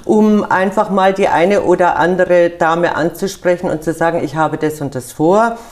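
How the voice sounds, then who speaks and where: Low-voiced older Bavarian woman. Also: moderate pace. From Munich, Bavaria. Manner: direct, lively, with frequent fillers. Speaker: interview guest, activist group organizer. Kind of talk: conversational interview.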